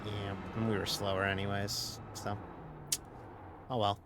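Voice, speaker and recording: A man's voice: on the low side, level tone, measured pace, very noisy. A man streaming a sim race who talks casually and at length, rambling and chuckling at himself as he drives. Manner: chatty, rambling, chuckles often, self-mocking. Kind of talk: casual live-stream commentary while racing.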